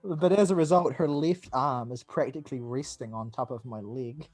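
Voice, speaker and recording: A man's voice: mid-range voice, normal speed, faint music behind. A man, casually reading game text aloud and joking with friends on a podcast. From New Zealand. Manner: relaxed, joking, laughs between lines. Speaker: let's play co-host reading the game text.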